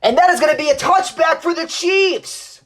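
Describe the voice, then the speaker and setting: A man's voice: very high voice, normal speed, fairly bright tone. Casting: young adult male sportscaster, football play-by-play, describing the action as it unfolds. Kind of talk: American football play-by-play commentary.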